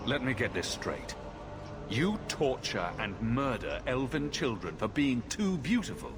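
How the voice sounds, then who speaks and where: An actor playing a blunt, cynical fantasy mercenary hero in a video game, dry and stern. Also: male voice, medium pitch, very animated, unhurried, noisy background. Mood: scornful. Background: clear music.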